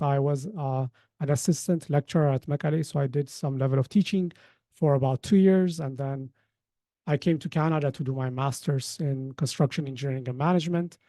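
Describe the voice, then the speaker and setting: A man hosts an informal online session. His voice sits slightly high for a man but has a soft, dark tone. He explains things conversationally, working out his wording as he talks, and the sound is close and dry. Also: dry recording. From Ethiopia. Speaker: webinar host, company founder. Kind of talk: informal webinar presentation.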